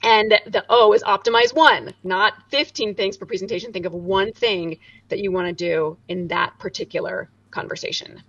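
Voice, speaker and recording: A woman in her forties speaking in an energetic, emphatic way as an interview guest over a video call. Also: moderate pace, breathy edge, dry recording, recorded from a distance, dull audio. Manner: animated, emphatic, swoops high and low. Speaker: guest, corporate director. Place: home room, indoors. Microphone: studio mic on boom arm, video call.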